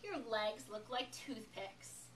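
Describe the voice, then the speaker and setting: A woman's voice: on the high side, takes it slowly. A woman voicing a mean, taunting first-grade girl bully in a storybook read-aloud. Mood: scornful. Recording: echoey, noisy room, distant microphone.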